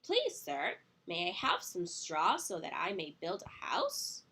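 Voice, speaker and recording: A woman's voice: on the low side, huge pitch swings, takes it slowly, pushed and bright, breathy edge, full character voice. A woman voicing a polite, eager young little pig in a children's storybook, with a pleading sing-song manner.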